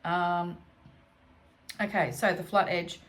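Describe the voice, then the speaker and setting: Croaky Australian woman thinking aloud. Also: on the low side, flat delivery, slow. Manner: thinking aloud, chatty, self-correcting. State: croaky from allergies. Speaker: homestead vlogger. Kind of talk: casual DIY vlog chat. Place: home, indoors.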